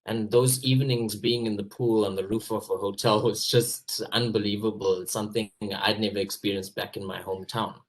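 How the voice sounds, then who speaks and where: A man's voice: fairly low pitch, steady tone, a little fast, few pauses, low-quality recording. A South African actor on a video call, recalling his time abroad in an earnest, enthusiastic way. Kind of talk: casual personal recollection.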